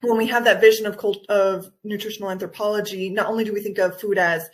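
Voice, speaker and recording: A woman's voice: medium pitch, steady tone, fast talker. A woman from Guatemala with an American accent, giving conversational interview answers as a wellness coach, enthusiastic and explanatory, often correcting herself. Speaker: nutritional anthropologist and wellness coach, podcast guest.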